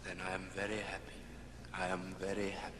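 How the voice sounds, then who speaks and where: An actor playing a gentle, grieving father of a poor family in an old radio drama, tender and sorrowful. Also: sits low, monotone, fairly quick, lightly acted. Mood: tender grief. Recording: echoey, noisy old record.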